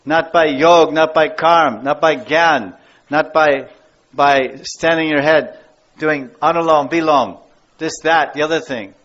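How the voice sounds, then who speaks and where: A somewhat high-voiced American man teaching a devotional class, emphatic and repetitive to drive each point home. The recording is dull and lo-fi, with the top end muffled. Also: slow pace, fairly bright tone. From United States. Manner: emphatic, repeats key words. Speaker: spiritual teacher. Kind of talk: devotional lecture.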